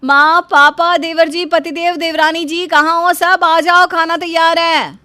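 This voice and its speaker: A woman's voice: extremely high pitch, level tone, a little slow, bright, forceful tone, no echo, voice pushed up, full character voice. A woman voicing a haughty, bickering elder daughter-in-law in a household story, boastful and theatrical. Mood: loud, bossy. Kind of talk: animated Hindi moral story dubbing.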